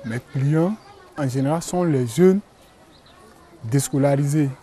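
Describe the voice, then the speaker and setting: A man's voice: on the high side, slow, dark tone. A man, a wholesaler explaining his work in an on-site interview, with restarts and hesitations. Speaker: ginger candy wholesaler.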